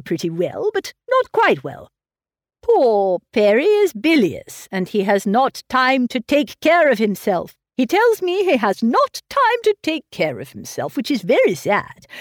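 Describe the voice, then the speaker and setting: A woman reading aloud voices a fussy, anxious elderly gentleman fretting over his grown daughter. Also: fairly high pitch, no echo, studio quality, pitched above the performer's own voice. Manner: fretful, coddling. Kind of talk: audiobook character reading.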